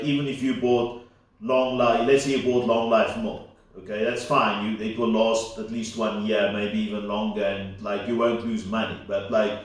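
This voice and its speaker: Man w/ afrikaans first language rambling slow, loose and unscripted, big echoey room, mic far off. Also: on the low side. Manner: unscripted, full of fillers and asides. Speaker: solo commentator. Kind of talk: casual rambling monologue.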